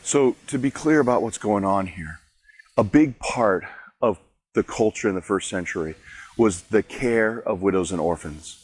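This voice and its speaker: A man's voice: fairly low pitch, animated, normal speed, a little mellow, breathy edge. A man, a pastor, giving a conversational daily devotional, explaining a Bible chapter with frequent restarts.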